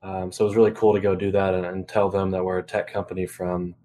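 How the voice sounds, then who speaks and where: A man's voice: low-pitched, level tone, fast talker, warm tone. A young man co-hosting a podcast in casual conversation. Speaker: podcast co-host.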